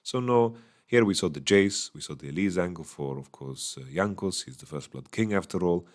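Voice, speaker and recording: Very low male voice, slightly hoarse, casual esports recap, small hotel room, lots of uh and um. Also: animated, normal speed, a little mellow. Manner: casual, many fillers, thinking aloud. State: voice going a bit hoarse. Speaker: esports analyst. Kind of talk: solo recap commentary. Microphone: studio microphone.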